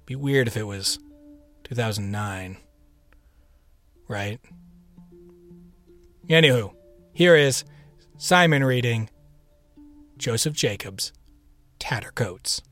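A man speaks as a podcast host over faint music. His delivery is casual and chatty, with playful rhetorical questions and asides, in a medium-pitched voice. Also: animated, slow pace, long pauses, fairly bright tone.

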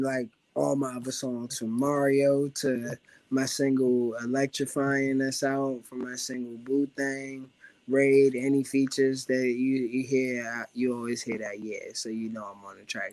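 A young man from the Washington, D.C. area with a raspy voice, a rapper talking casually as an interview guest and filling his speech with "you know what I'm saying.". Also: mid-range voice, a little mellow, no room sound.

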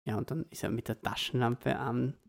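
Gravelly Viennese man chatting. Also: mid-range voice, fairly even intonation, brisk. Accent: Austrian, Viennese. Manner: rambling storyteller, laughs mid-sentence. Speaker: podcast co-host. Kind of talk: casual chat.

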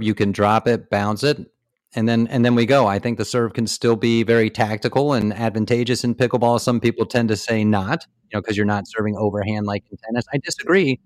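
A man in his fifties hosts a relaxed sports chat. He talks in an upbeat, emphatic way at a middle pitch. His voice sounds dry and close, with little room around it. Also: no echo. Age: 50s. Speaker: podcast host. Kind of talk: casual interview chat.

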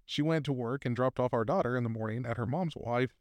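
A man co-hosting a podcast, reading stories aloud in a loose, casual way with fillers and laughter. His voice sits at a middle pitch. The sound is dry and close to the mic. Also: fast talker, no room sound.

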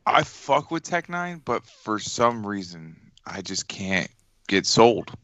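A man's voice: lowish voice, sing-song, takes it slowly, fairly bright tone, dry recording. A man chatting casually about music as a co-host, slangy and skeptical, with some swearing.

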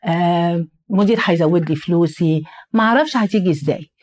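A young adult Egyptian woman co-hosting a casual podcast, talking in an animated way with a tired, strained voice. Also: on the low side, fast talker. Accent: Egyptian Arabic. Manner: animated storyteller, quotes people in lively asides. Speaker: podcast co-host.

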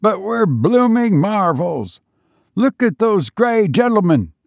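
A man voicing an excitable common soldier in a trench who bellows in amazement to his comrade. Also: sits high, takes it slowly, creaky, dry recording, studio quality, voice pushed up, exaggerated voice. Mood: astonished.

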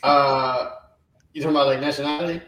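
A man from Colombia, co-hosting a casual podcast, talking in a relaxed, rambling way. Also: mid-range voice, a little fast, recorded from a distance. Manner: easygoing, rambling. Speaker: podcast co-host. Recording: roomy and off-mic.

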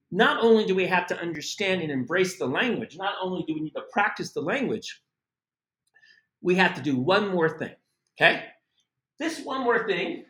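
Man, middle-aged, from the United States, casual lesson, animated, high voice, echoey room. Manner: animated and chatty, with asides and laughs. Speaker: language teacher.

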